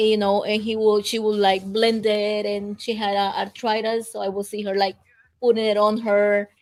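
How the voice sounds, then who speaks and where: Middle-aged woman from El Salvador, flat even tone, soft-spoken storyteller. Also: medium pitch. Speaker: guest, mother of a guest. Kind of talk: casual interview storytelling.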